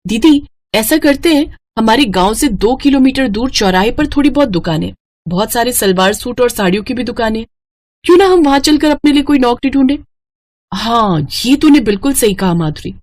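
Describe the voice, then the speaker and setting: Woman as young village sister, earnest, determined, raised pitch, exclamatory, cartoon moral tale. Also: expressive, moderate pace, a little mellow. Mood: hopeful.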